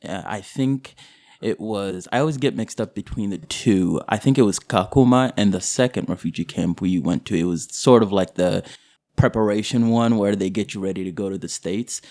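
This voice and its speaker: A young man from Kenya whose first language is Kizigua, a guest talking in a relaxed, unhurried way with many fillers. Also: lowish voice. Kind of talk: casual interview.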